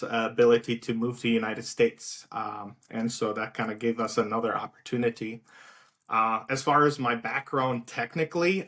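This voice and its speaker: Light south african accent, guy in his thirties, easygoing guest, lots of ums. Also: medium pitch. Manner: relaxed, many fillers and restarts. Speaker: guest, outreach director. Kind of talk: casual interview talk.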